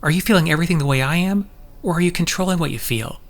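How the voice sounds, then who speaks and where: An actor playing an intense, possessive, manipulative telepath lover in an audio drama, pressing in a coaxing, insistent way. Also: male voice, on the high side, rapid-fire, close to an everyday voice. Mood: wounded, insistent.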